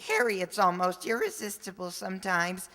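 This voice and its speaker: A woman's voice: mid-range voice, normal speed, bright, forceful tone. A woman playing an eager, awkward teenage schoolboy in a radio sitcom, with gee-whiz boyish phrasing. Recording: echoey hall, long reverb.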